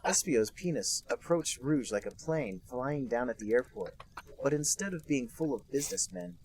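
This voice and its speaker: A man co-hosting a comedy podcast, reading a fanfic aloud in a deadpan way while holding back laughter. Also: medium pitch, moderate pace, slight background noise, low-quality recording.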